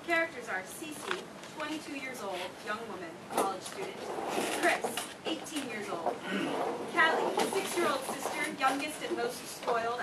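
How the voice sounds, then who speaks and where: A fairly high female voice reads scripted stage directions. It is echoey and distant, with loud noise over the recording. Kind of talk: scripted narration.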